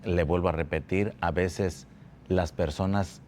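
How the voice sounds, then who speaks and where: Very deep-voiced Mexican-born man testifying. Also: steady tone, darkish tone, a little hiss or hum. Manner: slow, halting, repeats words. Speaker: guest giving testimony. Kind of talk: church testimony interview. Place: church building.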